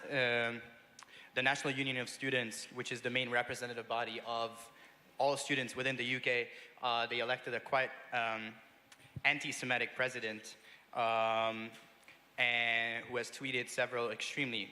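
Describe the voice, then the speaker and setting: A young man from Hungary speaks on a panel in a conference hall, earnest and full of filler sounds. His voice is bright and tense with a flat, even pitch. A long hall reverb trails behind him. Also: moderate pace. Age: young adult. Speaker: student representative on a panel. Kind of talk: panel talk.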